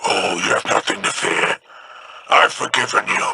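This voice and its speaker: A gravelly, sneering alien crime boss. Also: male voice, on the low side, lively intonation, normal speed, heavy background noise. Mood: mocking. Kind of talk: video game voice acting.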